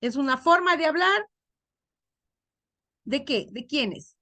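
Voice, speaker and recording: High-voiced woman teaching an online class. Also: animated, bright, forceful tone, no echo. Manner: asks students questions, repeats key words slowly.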